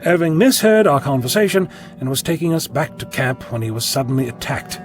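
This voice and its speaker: A man's voice: mid-range voice, lively intonation, brisk, soft background music, lightly acted. A man playing a flippant, articulate, unflappable eccentric time traveller in an audio drama. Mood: wry, flippant.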